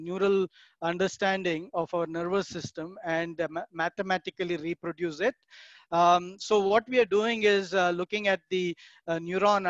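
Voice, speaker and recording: Man, keynote speaker, high voice, measured and explanatory, dull lo-fi call audio. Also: no echo. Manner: measured, explanatory, frequent "uh" fillers. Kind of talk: online keynote talk with slides.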